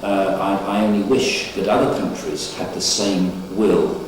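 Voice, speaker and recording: A man giving an unscripted testimonial in a measured, formal and slightly hesitant way. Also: sits low, steady tone. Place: echoing indoor room. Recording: very echoey, distant microphone, noisy background.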